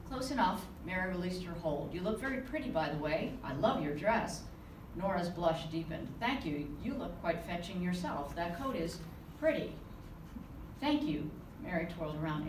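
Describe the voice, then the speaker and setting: Woman reading her novel aloud in an echoey room. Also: fairly low pitch. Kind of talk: reading aloud to a live audience. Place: conference room. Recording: roomy, distant with background noise.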